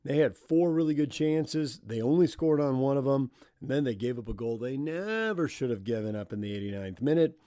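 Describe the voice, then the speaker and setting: A man's voice: mid-range voice, expressive, a little fast, hardly any pauses, dark tone, no room sound, studio quality. An American man hosting sports radio, giving commentary on games and putting questions to a coach.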